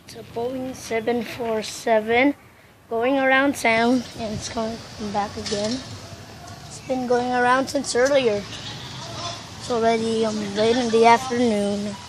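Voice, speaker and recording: A fairly high-voiced woman with a Filipino accent, chatting in a loose, excitable, think-out-loud way. She films on a phone outdoors, and steady background noise and passing traffic run under her voice. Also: measured pace. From Philippines. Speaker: vlogger. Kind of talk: casual vlog commentary.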